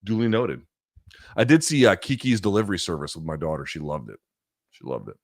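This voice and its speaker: Blunt, deep-voiced man chatting casually. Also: fairly quick, no echo, studio quality. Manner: blunt, profane, animated asides. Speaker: host answering viewer questions. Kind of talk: casual live chat reading questions.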